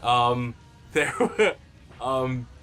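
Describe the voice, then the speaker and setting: A young man in casual commentary as a series creator, talking haltingly and conversationally, sometimes trailing off. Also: mid-range voice, expressive, bright, forceful tone. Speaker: web parody series creator on a commentary track.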